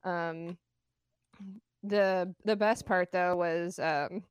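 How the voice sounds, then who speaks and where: A woman's voice: fairly low pitch, steady tone, drawn-out words, smooth, dry recording, dull audio. A woman co-hosting a podcast, chatting casually with lots of fillers and restarts. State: asthmatic cough at times. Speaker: podcast co-host. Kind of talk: casual chat.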